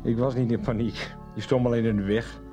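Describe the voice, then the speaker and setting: A man's voice: medium pitch, steady tone, a little fast, over music, lightly acted. Sneering, defiant male suspect played by an actor. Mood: mocking, defiant. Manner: mocking, curt, dismissive. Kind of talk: 1990s radio soap acting.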